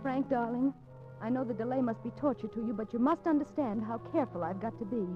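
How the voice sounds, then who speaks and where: An American actress playing a secretive, lovestruck wife in a 1950s radio drama, speaking breathlessly and melodramatically. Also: female voice, a little high, quick pace, music in the background, natural voice. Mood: tender, secretive. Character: intense, secretive wife of a condemned man, in love. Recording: dull, noisy 1950s radio recording.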